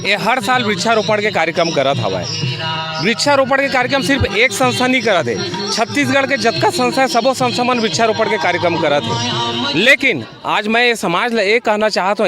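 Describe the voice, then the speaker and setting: A man with a Chhattisgarhi accent speaking as a social activist, emphatic and impassioned, repeating phrases for weight. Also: sits high. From Chhattisgarh, India. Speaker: social activist, NGO worker. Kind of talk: impassioned interview answers.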